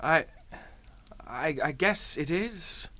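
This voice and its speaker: An actor playing a cornered sea captain in a suspense radio drama, indignant but yielding, halting and stammering. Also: male voice, fairly high pitch, animated, bright, forceful tone, dry recording, heavily acted. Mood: horrified.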